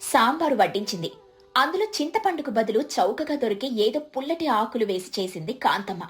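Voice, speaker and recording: A woman's voice: a little high, expressive, fairly quick. A woman narrating a scripted moral story as its storyteller.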